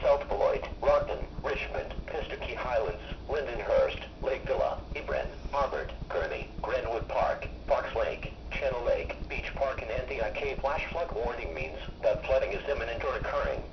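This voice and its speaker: A man's voice: mid-range voice, sing-song, normal speed, few pauses. An American man's synthetic-sounding voice reading a scripted emergency weather alert in a formal, impersonal way. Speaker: weather radio alert announcer. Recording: muffled, noisy weather radio broadcast, echoey.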